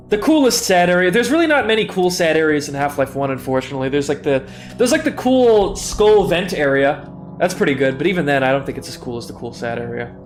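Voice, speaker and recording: A young man with a stuffy nose, tired and self-deprecating, rambling through casual commentary on a live game stream. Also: a little high.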